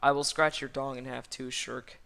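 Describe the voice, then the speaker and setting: A man's voice: medium pitch, little pitch movement, moderate pace, creaky, pushed and bright. A man voicing a scornful, bossy young woman with a declamatory manner in a parody story. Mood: scolding.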